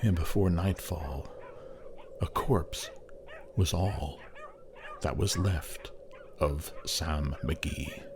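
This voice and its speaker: A man narrating a poem as a dramatic recitation, theatrical and measured, with long pauses. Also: rumbling low, noisy background.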